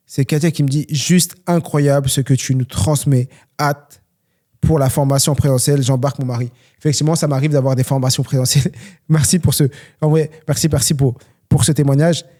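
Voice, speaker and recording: A man's voice: fairly high pitch, dark tone. A young man from France, talking earnestly and motivationally on a solo question-and-answer podcast, with many fillers. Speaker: podcast host and trainer.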